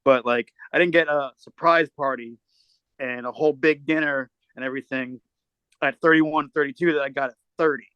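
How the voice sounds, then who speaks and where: A man's voice: mid-range voice, steady tone, normal speed, fairly bright tone, clear, resonant tone, no echo, lo-fi sound. Dry-sounding man in his thirties. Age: 30s. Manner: candid, swears casually. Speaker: podcast co-host. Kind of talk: casual chat.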